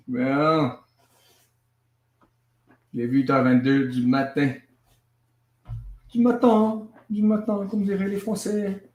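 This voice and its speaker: A man hosting a casual family livestream from home, moving easily between languages with a relaxed, filler-heavy way of talking. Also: fairly high pitch. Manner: switches between languages mid-sentence. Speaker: livestream host and father. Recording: echoey room sound.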